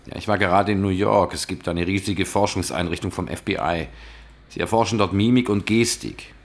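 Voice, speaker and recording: An actor playing a cool, observant private investigator, narrating and speaking in a plain, understated way in a radio drama. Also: male voice, low voice, fairly quick.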